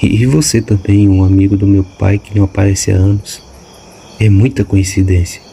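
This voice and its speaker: A man's voice: lowish voice, fairly even intonation, moderate pace, vocal fry, warm tone, a little hiss or hum. A man voicing a restless, suspicious young woman in an audiobook, speaking colloquially. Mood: uneasy.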